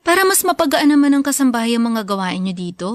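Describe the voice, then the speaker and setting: Sweet young Filipina daughter, higher-pitched. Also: female voice, very animated, quick pace, no echo. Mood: devoted. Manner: polite, sweet, deferential. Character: young graduate, devoted, respectful, caring grown child. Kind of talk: Filipino radio drama acting.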